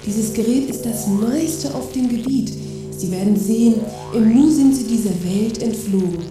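A woman's voice: a little high, moderate pace, natural voice. Woman playing a pushy saleswoman, very echoey. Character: pushy, scornful female seller of escapist gadgets. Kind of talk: satirical radio play dialogue. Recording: very echoey, distant, music behind.

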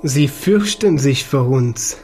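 A man's voice: medium pitch, expressive, slow, warm tone, soft background music. A man playing a wry, unruffled fugitive space pilot in his forties in a science-fiction audio drama. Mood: wry, guarded.

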